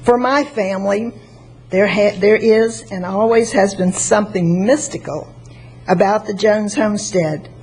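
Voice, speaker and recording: A woman in her sixties from Florida with a soft voice, reading a prepared statement at a public hearing. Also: mid-range voice, measured pace, slightly rough, low-quality recording. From Florida, United States. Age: 60s. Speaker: member of the public giving testimony. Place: public meeting chamber at a podium microphone. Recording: faint background noise.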